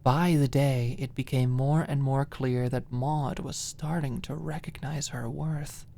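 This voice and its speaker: Very low-pitched, slow woman audiobook narrator. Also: fairly even intonation, creaky, mellow. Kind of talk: scripted fiction narration, ASMR audiobook.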